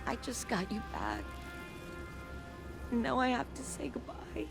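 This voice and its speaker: A woman's voice: on the high side, huge pitch swings, slow, vocal fry, over music, full character voice. An actress playing a young woman who pleads emotionally with a doomed machine friend. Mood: pleading. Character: young woman, anguished and protective of the AI.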